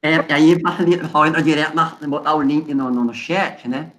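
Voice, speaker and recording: A man's voice: on the high side, fairly quick, a bit bright, a little hiss or hum. A man helping run an online workshop over a video call, thinking aloud casually.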